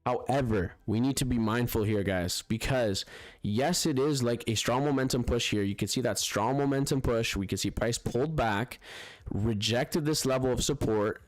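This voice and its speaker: A man's voice: mid-range voice, moderate pace, no room sound. A man hosting a casual live trading stream, talking over charts in a chatty way, full of fillers, restarts and "right, guys?". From Spanish-speaking background. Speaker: forex trading livestream host.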